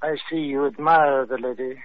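An eerie, reverent butler, played by a man with a medium-pitched voice. He is formal and entranced, heard through a tinny, narrow vintage radio recording. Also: steady tone, moderate pace, natural voice. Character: eerie, devoted gaunt butler entranced by a painting. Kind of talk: old radio mystery acting.

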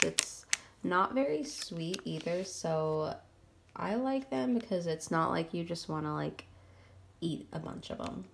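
A woman's voice: on the low side, gravelly, a little hiss or hum. Chatty woman vlogging from her kitchen. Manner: chatty, upbeat, lots of fillers like "um" and "like". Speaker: keto vlogger. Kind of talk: casual grocery haul vlog. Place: home kitchen, indoors.

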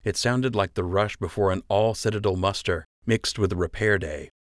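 Male narrator for fiction audiobook, fairly low voice, dry close studio-style sound. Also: moderate pace, no room sound.

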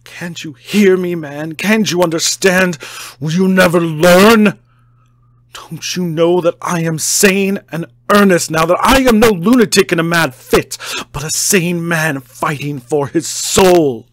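Man voicing a desperate male asylum inmate, pleading, frantic, high, dry close sound. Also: a little slow, no room sound, natural voice. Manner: pleading, desperate, rising to cries. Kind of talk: audiobook character reading.

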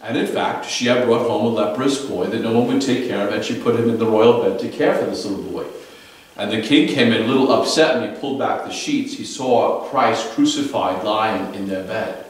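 Priest, 50s, low voice, chatty homily storytelling, echoey chapel, picked up from across the room. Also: male voice, normal speed, recorded from a distance. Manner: conversational storytelling, fillers and restarts. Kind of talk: daily homily. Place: small chapel. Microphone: far camera.

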